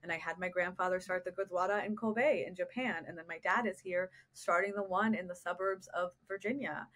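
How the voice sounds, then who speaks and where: A woman's voice: on the low side, fairly quick, fairly bright tone. A woman, a therapist speaking as a guest on a podcast interview, earnest and reflective, often posing rhetorical questions. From United States.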